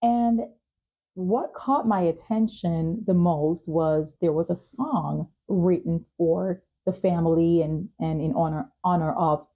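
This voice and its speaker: A woman's voice: on the low side, takes it slowly, dark tone, highs cut off. A woman hosting a talk show, speaking empathetically in an interview conversation and affirming what the guest says. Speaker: talk show and podcast host.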